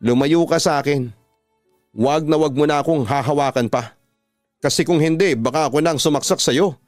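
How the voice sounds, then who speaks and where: A man's voice: on the high side, normal speed, dry recording, close to an everyday voice. Radio drama male narrator as indignant young Filipino woman, defiant, emphatic, dry sound, music bed. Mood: angry, defiant. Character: defiant, wounded young woman confronting her violent ex.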